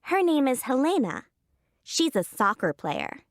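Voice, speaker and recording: A woman acting a thirteen-year-old girl tennis player in a scripted textbook dialogue, giving short, slow, clearly spaced answers. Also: high-pitched, sing-song, no echo, clean studio sound, exaggerated voice. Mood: plain. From Canada.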